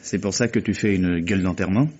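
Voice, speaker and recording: An actor playing a cynical, calculating murderer with a cutting, sardonic manner in a radio mystery drama. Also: male voice, deep voice, fast, a little mellow, a little hiss or hum, lightly acted. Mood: guarded, dry. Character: cynical, calculating murderer nephew taunting his guilty accomplice. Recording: muffled old radio drama recording.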